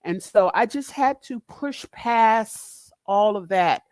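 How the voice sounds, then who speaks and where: Middle-aged Northeastern woman, guest speaker; deep, loud, blunt delivery; dry close mic. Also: animated, takes it slowly, no echo. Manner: direct, candid, laughs often. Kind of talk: casual podcast chat.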